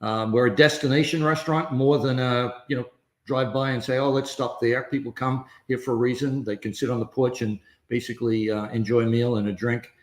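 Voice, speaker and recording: A man who owns a winery, talking as a podcast guest in a halting way, full of fillers and restarts. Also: medium pitch, fairly even intonation, echoey room. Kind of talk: casual podcast conversation.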